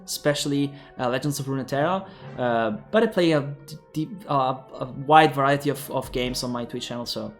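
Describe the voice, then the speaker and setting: A young man with an Italian accent, casting an esports tournament in English and rambling with lots of fillers and restarts. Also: a little high. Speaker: esports caster. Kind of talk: esports commentary and sign-off.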